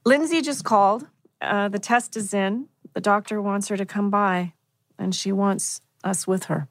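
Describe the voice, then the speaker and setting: A woman's voice: medium pitch, steady tone, measured pace, no echo, close to an everyday voice. A woman playing an exasperated but caring middle-aged mother in a drama table read. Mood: weary, matter-of-fact.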